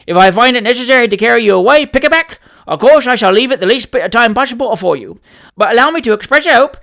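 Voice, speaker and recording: A reader voicing a cheeky young male manservant who talks in a dogmatic, forceful, wordy way and drops letters in working-class dialect. The figure stays stubborn throughout. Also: mid-range voice, voice pushed up.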